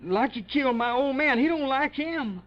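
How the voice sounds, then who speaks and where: A frightened, uneducated young man in an old radio drama. The voice is high and pleading, halting and repeating itself in broken dialect. The muffled vintage recording dulls the sound. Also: lively intonation. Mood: anxious pleading. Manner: halting, repetitive, dialect-heavy broken grammar. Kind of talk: 1950s American radio drama acting.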